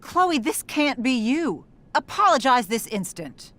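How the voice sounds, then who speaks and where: A woman's voice: high voice, big pitch swings, unhurried, a bit bright, a little hiss or hum, exaggerated voice. An actress playing an earnest middle-aged mother of a teenager, polite but firm, in a video game drama. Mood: shocked, scolding. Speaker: voice actor.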